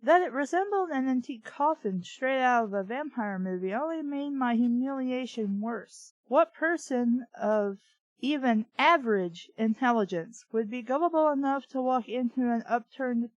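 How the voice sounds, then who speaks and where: A woman's voice: on the high side, expressive, moderate pace, no echo, lo-fi sound. A woman reading fiction aloud and breaking in with sarcastic, irreverent, exasperated commentary. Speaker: book review host.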